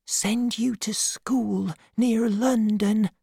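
A loving, humble servant woman from a storybook, acted by a female narrator. She speaks earnestly and affectionately in a hushed, raised voice, slow and heartfelt. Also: a little high, dry recording, studio quality, pitched above the performer's own voice. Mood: whispering, secretive.

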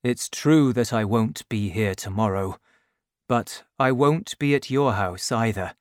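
A man voicing a dying old man in a read-aloud storybook, speaking quietly and softly, his voice sinking lower and fading toward a whisper. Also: expressive, slow, no echo, clean studio sound. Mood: tender.

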